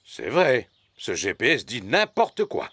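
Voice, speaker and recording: A man's voice: mid-range voice, huge pitch swings, a little slow, bright, forceful tone, breathy edge, no room sound, full character voice. A man voicing a jolly, good-natured, easily flustered daddy pig in a French-dubbed preschool cartoon.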